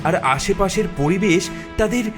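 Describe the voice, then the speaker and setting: A man's voice: high voice, normal speed, over music. A man narrating a children's fairy tale in a dramatic, scripted storytelling style.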